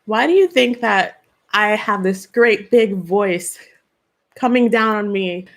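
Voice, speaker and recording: A woman hosting a live stream as a spiritual channeler. She talks in an earnest way, asks questions, gives gentle advice and answers viewers by name. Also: medium pitch, slow.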